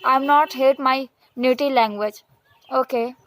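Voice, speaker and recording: A woman whose first language is Hindi, giving an earnest motivational talk in halting English with many restarts, repeated phrases and fillers. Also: sits high, fairly bright tone, rough recording. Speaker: vlogger. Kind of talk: motivational monologue, practicing English.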